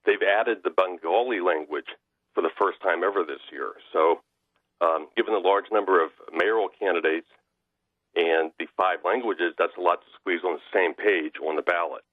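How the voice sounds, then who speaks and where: An American man giving political commentary as a radio guest over the telephone, halting and often restarting his sentences. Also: deep voice, no room sound. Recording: narrow tinny phone line.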